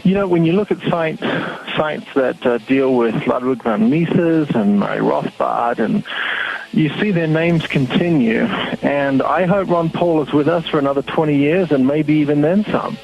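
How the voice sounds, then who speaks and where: A man's voice: on the high side, gravelly, lo-fi sound, a little hiss or hum. A man from New Zealand with a mixed New Zealand and Australian accent, a guest speaking earnestly and rallying listeners in an interview. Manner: earnest, rallying, measured delivery with fillers. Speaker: guest, website founder. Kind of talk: casual interview conversation.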